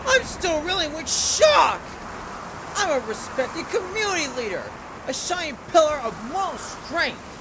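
A man's voice: extremely high pitch, exaggerated voice. A man voicing a greedy, pompous, hot-tempered little cartoon creature in a melodramatic, indignant amateur character demo. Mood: outraged wailing, then pompous indignation. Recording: clear background wind and noise.

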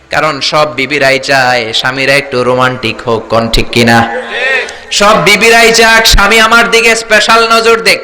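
A man's voice: sits high, sharp tone. A man preaching an Islamic sermon, telling stories emphatically and declaiming as he prompts listeners to respond. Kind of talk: fervent sermon storytelling with call-and-response to a crowd.

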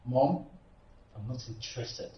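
An actor playing a defiant young son, who answers his mother harshly and shouts. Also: medium pitch, a little slow, roomy sound, far from the mic, dull audio, slight background noise, lightly acted. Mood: cold. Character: angry young son refusing an arranged bride.

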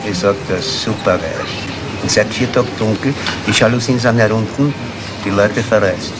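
An actor playing a worn-out ex-convict burglar in a crime radio play, coaxing and wistful, speaking deliberately in short, persuasive phrases. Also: male voice, fairly low pitch, over loud music, natural voice.

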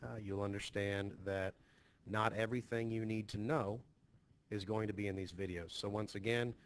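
A man's voice: fairly low pitch, fairly even intonation, moderate pace. A man speaking as a teacher, giving an informal, conversational and reassuring introduction to a lesson. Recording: noticeably hissy home screen recording.

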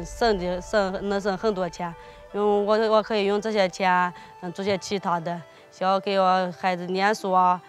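Woman, 40s, hesitant, flat intonation, faint music. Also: medium pitch. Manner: hesitant, many fillers and restarts. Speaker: villager. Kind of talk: casual testimony.

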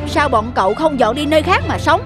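A big, arrogant young cartoon elephant, voiced by a woman, speaks with haughty scorn for smaller animals. The pitch is high, with music underneath. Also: animated, fairly quick. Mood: scornful. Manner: haughty, mocking. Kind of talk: cartoon dialogue acting.

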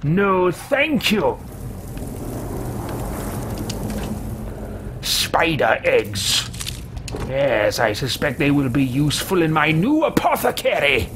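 A man's voice: fairly high pitch, big pitch swings, background noise. A man roleplaying a haughty, megalomaniac evil sorcerer for a video game, speaking in a pompous, theatrical and contemptuous way. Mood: imperious. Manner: pompous, theatrical, slow deliberate phrasing. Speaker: streamer. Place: small home room with shelves, indoors. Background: video game ambience.

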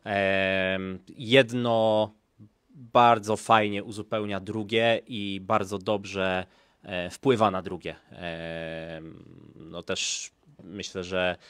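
Man in his thirties, low voice, dry close handheld mic, relaxed and chatty, lots of fillers. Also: no echo. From Poland. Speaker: pro windsurfer. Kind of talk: press conference answer. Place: small conference room, indoors. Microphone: handheld microphone.

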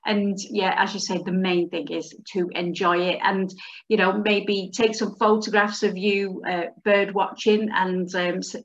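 A middle-aged woman hosting a casual video call chat, speaking warmly with hesitant fillers. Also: medium pitch, level tone, a little slow. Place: room at home by a window. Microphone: webcam on a video call. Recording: dull lo-fi video call audio.

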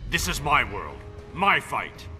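An actor playing a stubborn, embattled vigilante superhero in a video game, terse and defiant. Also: male voice, mid-range voice, wildly swooping pitch, takes it slowly, heavily acted. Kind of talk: dramatic video game cutscene acting. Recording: reverberant hall, loud music.

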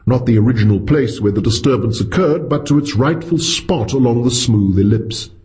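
Casting: male narrator for literary fiction, medium pitch, animated melodic delivery. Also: moderate pace. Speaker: audiobook narrator.

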